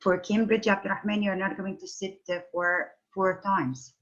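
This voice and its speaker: A woman's voice: medium pitch, steady tone, moderate pace, a bit bright. A middle-aged woman teaching an online English class over a phone video call, patiently questioning her students. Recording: dull lo-fi call audio.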